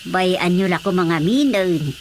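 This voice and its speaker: A woman's voice: lowish voice, lively intonation, slow, slight background noise. A woman acting a wary, outspoken village woman who scolds, in a radio comedy drama, with an Ilocano Filipino accent. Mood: scolding.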